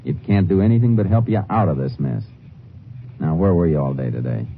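An actor playing a steady, dogged Texas Ranger who narrates and questions suspects in a firm, measured way in a 1950s radio drama. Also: male voice, a bass voice, a little hiss or hum. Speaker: narrator and lead character. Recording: tinny old radio broadcast.

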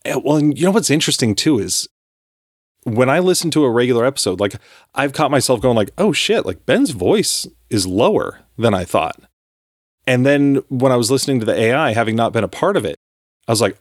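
A man's voice: medium pitch, very animated, brisk, no room sound, studio quality. A man co-hosting a podcast in casual chat, rambling with restarts and casual swearing. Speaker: podcast co-host.

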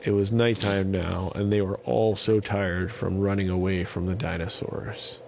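A male children's storyteller with a low voice and noticeable fry. He narrates off the cuff in simple, repetitive phrases. The sound is dull, with faint noise behind. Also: flat delivery, unhurried. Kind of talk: improvised bedtime story narration.

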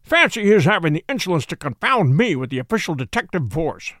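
A man's voice: on the high side, sing-song, brisk, fairly bright tone, no room sound, studio quality. A man voicing a coolly amused, unflappable detective in an audiobook, blandly and wryly polite. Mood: brisk, confident.

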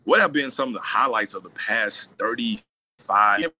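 A man interviewing a friend in a casual, hyped, slangy way, with loud exclamations. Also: lowish voice, moderate pace. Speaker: guest interviewer.

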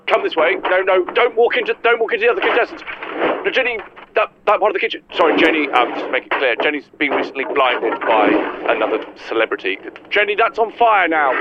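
A man's voice: mid-range voice, close to an everyday voice. A man playing a flustered TV cookery show presenter, fussing and repeating himself in mock live-broadcast style. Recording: dull lo-fi sound, clear noise.

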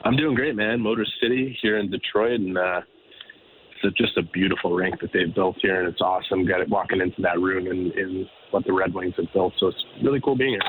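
A man's voice: low-pitched, fairly even intonation, a little fast, low-quality recording. A man giving conversational sports commentary as a reporter guest, with faint noise on his connection.

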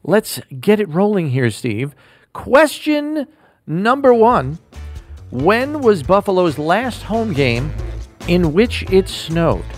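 A man hosting a sports podcast, running a trivia quiz and making picks in an upbeat, animated way. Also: high-pitched, slow, faint music behind. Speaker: host.

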